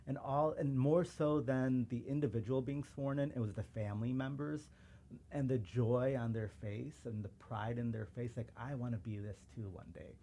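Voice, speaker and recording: A man's voice: mid-range voice, steady tone, normal speed, mellow, slight background noise. A man from suburban Chicago, a guest in a casual interview, speaking in a reflective, earnest way and acting out the remarks he quotes. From suburban Chicago, USA. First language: Urdu. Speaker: podcast guest, civil rights lawyer.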